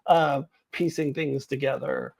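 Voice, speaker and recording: A woman giving casual, opinionated commentary to viewers as a video host, in a blunt, informal and halting way. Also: extremely low pitch, fairly even intonation, gravelly, darkish tone.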